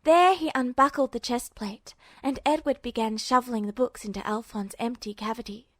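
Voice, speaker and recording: A woman's voice: fairly high pitch, moderate pace, no room sound, studio quality. A woman narrating a story from a script as an audiobook-style reader. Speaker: podfic narrator.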